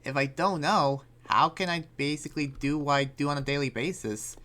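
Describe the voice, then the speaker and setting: A man playing an earnest, troubled demon slayer and researcher in an improvised anime game roleplay, speaking haltingly and often trailing off. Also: a little high, sharp tone. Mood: troubled, resolute.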